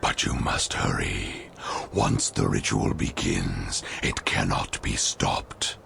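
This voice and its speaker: A man voicing an ancient, regal ghostly hero in a video game, with a breathy rasp and solemn, theatrical pronouncements. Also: extremely low pitch, lively intonation, slow, background noise, exaggerated voice. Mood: regretful, urgent. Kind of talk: video game cutscene acting.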